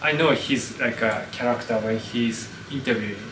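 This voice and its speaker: A man hosting an interview in English, speaking haltingly with many pauses and "uh"s. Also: mid-range voice. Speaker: host. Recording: echoey room, distant mic, background noise.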